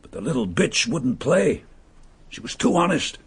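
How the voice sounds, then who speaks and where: A gravelly, mocking killer. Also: male voice, a little high, sing-song, moderate pace, heavily acted. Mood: sneering. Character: murderous male impostor, mocking and bitter. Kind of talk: vintage radio drama acting.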